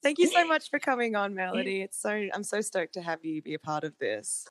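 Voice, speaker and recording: A woman's voice: mid-range voice, lively intonation, a little fast, fairly bright tone, dry recording. A woman fashion model co-hosting a podcast, reading the intro and asking interview questions in an upbeat, casual way.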